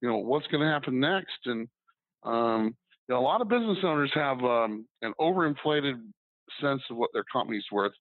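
A man's voice: mid-range voice, lively intonation, a little fast, dry recording. Man on a tinny phone line. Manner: rambling, many ums and restarts. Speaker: podcast guest, business broker. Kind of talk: casual podcast conversation.

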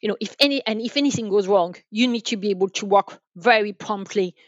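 A woman explaining things as an expert guest in a podcast interview, haltingly, often repeating and rephrasing her phrases. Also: medium pitch, no room sound, muffled recording. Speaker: guest, enterprise sales manager.

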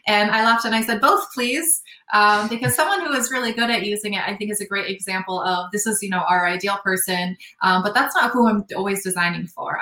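A young woman with a bright, forceful voice, speaking in a roomy, echoing space. She explains things at length in a relaxed interview, stopping often with small fillers as she thinks. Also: medium pitch. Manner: thoughtful, explanatory, many fillers. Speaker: podcast guest, sales operations analyst. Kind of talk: casual interview talk.

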